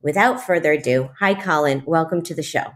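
A woman's voice: low voice, brisk. A woman hosting an interview podcast, reading introductions and talking with her guest. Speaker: podcast host.

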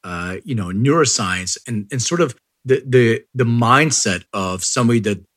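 A man's voice: medium pitch, lively intonation, normal speed, a bit bright, no echo, studio quality. A man hosting an interview, asking rambling, jokey questions in a casual chat. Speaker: interviewer, host.

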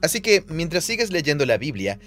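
A man's voice: a little high, big pitch swings, a little fast, a bit bright, soft background music. A man co-hosting a podcast, explaining scripture in conversation and quoting passages aloud. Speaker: podcast co-host, Bible teacher. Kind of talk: conversational teaching.

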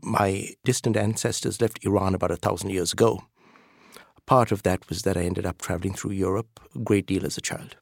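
A middle-aged man from Sri Lanka, a professor answering interview questions in a relaxed, hesitant way with many fillers. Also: brisk, creaky, no echo, studio quality. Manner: hesitant, many fillers, lively pitch jumps. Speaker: professor, guest.

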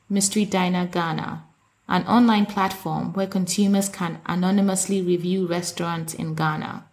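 A woman hosting a food podcast, reading a scripted intro smoothly and then chatting with her guest. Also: mid-range voice, darkish tone. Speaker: podcast host. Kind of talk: scripted intro and casual interview.

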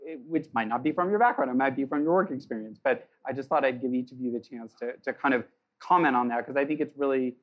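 A man moderates a live panel at an event. He asks his questions in an easy, meandering way, at a middle pitch. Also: fast, lo-fi sound. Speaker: host, moderator.

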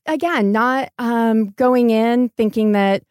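A woman's voice: on the high side, takes it slowly, a little mellow, no echo, studio quality. A woman from New York talking candidly as a startup founder being interviewed, telling things emphatically and acting out little quotes. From New York, United States.

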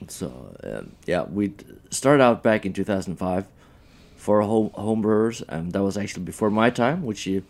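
Norwegian man, low joking voice. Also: sing-song, moderate pace, vocal fry, a little mellow. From Norway. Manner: joking, self-deprecating. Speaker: brewery sales manager guest. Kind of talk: casual interview chat.

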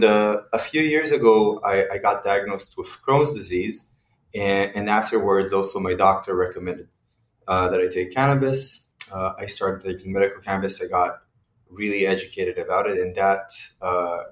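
A man from Israel speaks in a lowish voice, explaining his work in a thoughtful, halting way full of small fillers. He sounds a little distant from the mic. His voice carries a noticeable roomy echo. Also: fairly even intonation. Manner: thoughtful, many fillers and restarts. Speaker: company CEO, guest. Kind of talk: interview answers.

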